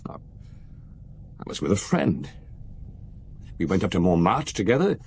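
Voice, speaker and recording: A young actor playing an earnest, hesitant junior police detective in a radio drama. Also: male voice, sits low, big pitch swings, unhurried, gravelly, darkish tone, slightly rough, full character voice. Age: young adult.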